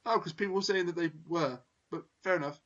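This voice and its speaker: High bright guy hosting a review podcast, wordy, dry jokes, dull lo-fi call audio. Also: fast. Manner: wordy, self-correcting, dry humor. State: tired, late at night. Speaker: podcast host. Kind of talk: casual review discussion.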